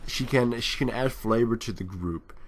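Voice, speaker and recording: A man's voice: mid-range voice, moderate pace. A man doing casual, unscripted game commentary, thinking aloud in a rambling way and often trailing off. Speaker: gameplay commentator.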